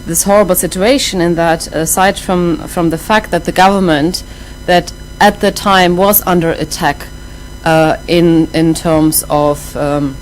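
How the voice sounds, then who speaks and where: A middle-aged woman with a German accent giving a lecture, thoughtful and hesitant, with many pauses and restarts. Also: on the low side, steady tone, slight background noise, low-quality recording. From half German, half Iraqi. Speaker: academic speaker.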